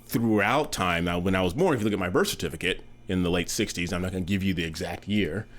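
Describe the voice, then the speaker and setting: A man in his fifties from the United States speaks with a very low voice. He answers candidly and with good humor, taking his time over each point. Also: quick pace, fairly bright tone. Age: 50s. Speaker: interviewee. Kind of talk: casual interview answers.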